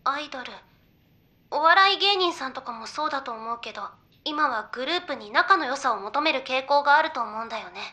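A woman's voice: high-pitched, lively intonation, brisk, pushed and bright. A woman playing a young female idol in a Japanese anime audio drama, talking in casual, persuasive, girlish banter. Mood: earnest, persuasive.

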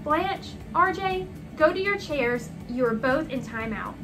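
A woman's voice: high voice, expressive, unhurried, over music, voice pushed up. A woman voicing a stern mother who scolds her children emphatically in a children's storybook.

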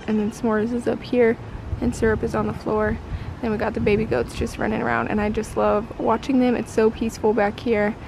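A woman's voice: fairly high pitch, vocal fry, a little mellow, a little hiss or hum. A young woman chatting casually to camera outdoors in a backyard, in a conversational way sprinkled with "like" and "you know". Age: young adult. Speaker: homesteading family vlogger.

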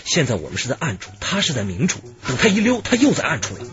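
A man's voice: mid-range voice, wildly swooping pitch, fast talker, a bit bright. An actor playing an agitated, intense man in a police radio drama, with a theatrical, emphatic delivery. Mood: anxious, pressing. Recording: muffled radio drama with music underneath.

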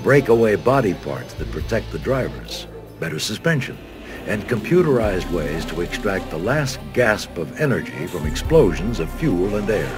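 Documentary narrator: American man, low voice, lively and varied intonation, music bed. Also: moderate pace. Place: studio voice-over. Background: background music.

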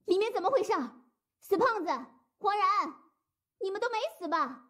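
A sharp-tongued girlish young lady in a dramatized audiobook. Her voice is extremely high. She is blunt and bossy, scolding whoever she calls to. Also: normal speed. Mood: impatient, scolding. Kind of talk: dramatized audiobook character acting.

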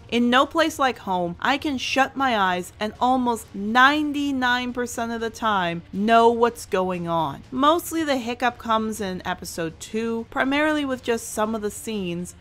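A woman's voice: medium pitch, a bit bright. A woman hosting a review in semi-scripted commentary, speaking in an enthusiastic, emphatic way. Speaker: host and reviewer.

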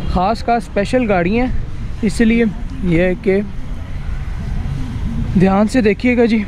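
Pakistani guy on a walking tour outside, fairly high voice, street noise all around. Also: a little slow. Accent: Pakistani Urdu. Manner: chatty, pointing things out, frequent "ji" and "dekh rahe ho". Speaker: vlogger touring a vehicle workshop. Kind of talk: casual walk-and-talk tour. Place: outdoor workshop yard. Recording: outdoor street noise behind voice.

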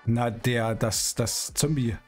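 A man's voice: mid-range voice, slow pace, music in the background. A middle-aged man giving casual, playful gameplay commentary and chatting in character. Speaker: streamer hosting a GTA roleplay series. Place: small indoor streaming room.

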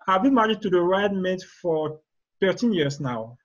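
A man from Cameroon with a Cameroonian accent and a husky voice, explaining his views as an interview guest on a video call. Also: high-pitched, expressive, moderate pace. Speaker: guest author and relationship expert. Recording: dull, lo-fi video call audio.

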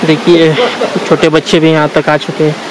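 Guy doing a casual travel vlog, voice a bit high, outside with loud water noise behind him. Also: monotone. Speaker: travel vlogger. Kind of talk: casual vlog narration while walking. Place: outdoors on a forested trail by a stream and waterfall. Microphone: handheld camera at arm's length. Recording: noisy outdoors with rushing water.

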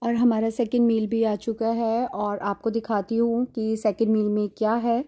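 Woman, 40s, casual travel vlogger, slightly high voice, phone mic at arm's length, loud airliner cabin hum behind her. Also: level tone, normal speed. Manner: chatty, describing food and seats as she films. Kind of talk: casual vlog chat to camera. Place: airport lounge and airliner cabin. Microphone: phone camera at arm's length. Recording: loud aircraft cabin noise. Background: airplane engine and cabin hum.

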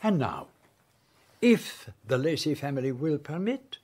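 A man's voice: a little high, expressive, unhurried, darkish tone, husky. A man playing a precise, formal Belgian detective in a radio play. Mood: measured. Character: fussy Belgian detective, middle-aged man. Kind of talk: radio drama acting.

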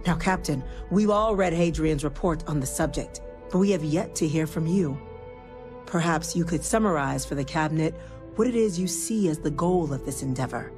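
A woman playing a middle-aged president in a video game, formal and deliberate as she chairs a meeting. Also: sits low, music in the background, lightly acted. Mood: formal, composed. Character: formal, composed female president chairing a cabinet.

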